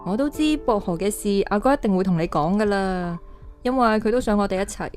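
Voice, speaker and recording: A young actress playing a gentle woman haunted by lost love in a radio drama, with a Hong Kong Cantonese accent. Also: mid-range voice, animated, fairly quick, faint music behind, natural voice. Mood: wistful, gentle. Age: young adult.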